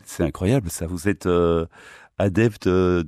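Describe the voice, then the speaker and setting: A man with a Belgian French accent hosting a radio interview, asking measured questions with pauses. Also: low voice, steady tone, mellow, dry recording, studio quality. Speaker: radio host.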